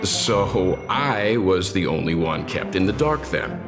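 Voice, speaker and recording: A man's voice: low-pitched, lively intonation, slow pace, exaggerated voice. An actor voicing a reserved, perceptive male space traveler in a video game, with a dry, musing delivery. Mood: dry, intrigued. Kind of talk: English video game voice acting. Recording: loud music behind the voice.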